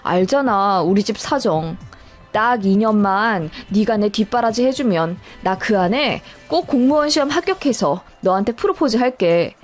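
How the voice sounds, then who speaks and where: A woman's voice: mid-range voice, lively intonation, normal speed, faint music behind, pitched below the performer's own voice, natural voice. A woman voicing a broke young boyfriend in a story, pleading tearfully for help.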